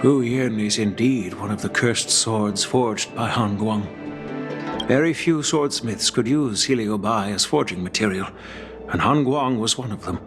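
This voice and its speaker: A man playing a dignified, caring elderly grandfather in a video game, speaking in a measured, solemn, formal way. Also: lowish voice. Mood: solemn, regretful. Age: older. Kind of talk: scripted game dialogue. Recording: background music under the voice.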